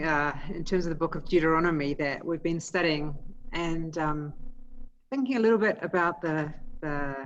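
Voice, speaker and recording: A woman with a New Zealand accent speaks in a low voice, earnest and reflective as she pauses to find her words. She talks informally as a student ministry worker. The sound comes through a dull, slightly muffled video-call line.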